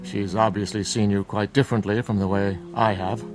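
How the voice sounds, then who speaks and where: An actor playing a proud, reasonable middle-aged father, speaking in a measured, formal and civil way in a radio drama. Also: on the low side, flat delivery, natural voice. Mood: grave, civil. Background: music.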